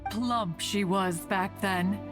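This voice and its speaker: A woman acts an ancient immortal guardian from a fantasy game, dignified and a little self-important. She speaks in formal archaic phrases at a medium pitch, fond and stately, over clear background music. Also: takes it slowly, natural voice. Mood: nostalgic, teasing. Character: ancient dignified female adeptus, fond, meddling elder guardian. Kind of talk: English anime game dub. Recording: music behind the voice.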